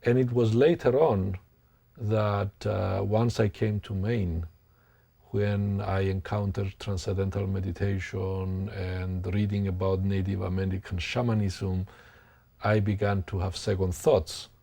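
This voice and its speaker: A man, a professor thoughtfully recounting his life in an interview, pausing to find words. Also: low voice, little pitch movement, vocal fry, dark tone, husky. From Greek background. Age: 60s. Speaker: sociology professor. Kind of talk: interview, reflective personal account.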